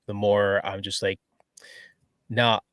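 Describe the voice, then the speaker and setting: A man co-hosts a relaxed podcast chat, working through his ideas in a thoughtful, explanatory way. His voice is recorded very dry and close, with no room sound around it. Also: lowish voice, takes it slowly, no echo. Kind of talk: casual chat.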